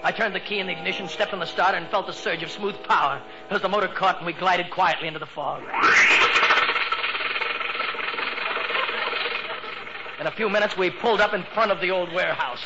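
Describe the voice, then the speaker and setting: An American man playing an excitable teenage boy in a 1940s radio comedy, telling his story with breathless mock drama. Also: high voice, quick pace, hardly any pauses, loud music behind the voice. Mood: suspenseful boasting. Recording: noisy, dull 1940s radio recording.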